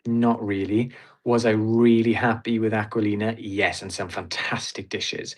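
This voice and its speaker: A man's voice: on the low side, little pitch movement, measured pace. A Welsh man chatting as a podcast guest, reviewing a cruise in a blunt, opinionated and enthusiastic way. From Wales. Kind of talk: casual podcast chat.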